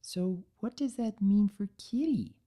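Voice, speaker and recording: A woman voicing a gentle, protective young female cartoon pony in a story reading, soft, breathy and hesitant. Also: mid-range voice, unhurried, pitched above the performer's own voice, close to an everyday voice. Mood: timid worry.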